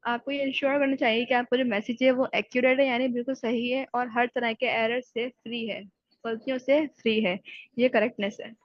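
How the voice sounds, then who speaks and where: A woman giving a recorded exam-prep lecture, who reads English definitions slowly and then explains them in Urdu. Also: a little high, steady tone, dry recording. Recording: dull lo-fi sound.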